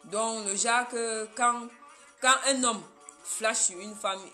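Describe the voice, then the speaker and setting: A woman's voice: fairly high pitch, fairly even intonation, takes it slowly, sharp tone, soft background music. A woman from Cameroon, a Yemba speaker, hosting a casual live stream and talking straight to her viewers.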